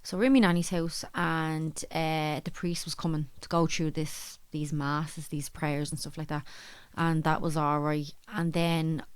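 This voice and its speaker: She is an Irish woman from the Dublin side of things by her accent, telling stories in loose, colloquial chat. Her voice sits a bit low and is very clean and smooth. The sound is dry, with hardly any room in it. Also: flat delivery, measured pace, few pauses, no echo. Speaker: podcast speaker telling personal stories. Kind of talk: casual chat, anecdotes.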